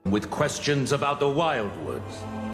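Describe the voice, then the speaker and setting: A man's voice: medium pitch, big pitch swings, slow. An actor playing an imperious, regal king in scripted fantasy game dialogue. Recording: music clearly behind the voice.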